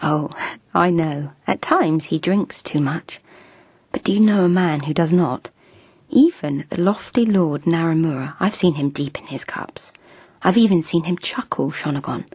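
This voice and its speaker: Komatsu, a breathy lovestruck young noblewoman. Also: low voice, measured pace, darkish tone, no echo. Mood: dreamy, confiding. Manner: breathless, whispery, eager. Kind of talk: radio drama acting.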